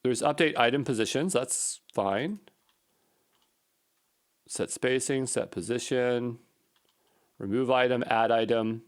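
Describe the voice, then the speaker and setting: A man with an average-pitched voice narrates a coding session in a casual way. He thinks out loud as he goes, informally muttering to himself like someone troubleshooting on screen. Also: slow, pauses often. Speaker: programmer recording a coding session.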